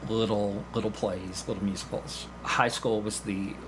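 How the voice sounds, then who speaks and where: A man's voice: on the low side, little pitch movement, normal speed, background noise. A man chatting casually as an interview guest, telling stories and acting out bits of dialogue. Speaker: podcast guest, community theatre actor. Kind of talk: casual anecdotal interview chat.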